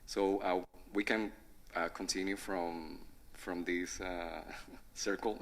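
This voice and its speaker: A man moderating a conference panel, halting and repeating words as he phrases his questions. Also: low voice, steady tone, fairly bright tone.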